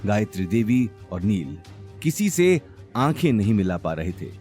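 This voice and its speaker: A man narrating a scripted audio drama in a dramatic, expressive storytelling manner. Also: lowish voice, measured pace, soft background music.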